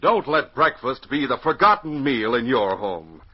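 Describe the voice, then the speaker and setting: Male 1940s radio announcer-narrator, North American, dramatic and highly inflected, on a muffled, hissy old recording. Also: big pitch swings, unhurried, slightly rough. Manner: dramatic, emphatic.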